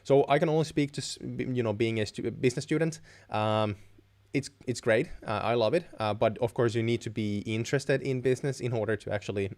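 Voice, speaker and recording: A man's voice: mid-range voice, brisk, a little mellow. Young Finnish-accented man giving informal advice. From Finland. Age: young adult. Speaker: live stream host.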